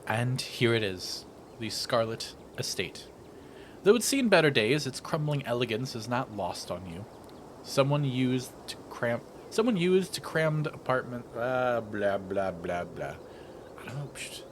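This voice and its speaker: A man's voice: medium pitch, sing-song, a little slow, slight background noise. A man narrating a visual novel playthrough, reading theatrically with casual asides and the odd stumble he corrects. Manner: theatrical read-aloud, stumbles over words and self-corrects. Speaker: let's player reading a visual novel aloud.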